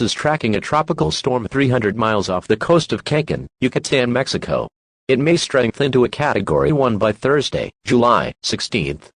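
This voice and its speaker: Guy doing an official emergency alert voice, formal, pitch moves around a lot, super dry sound. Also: no room sound. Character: official male weather alert announcer, impersonal and methodical. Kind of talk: formal scripted emergency bulletin.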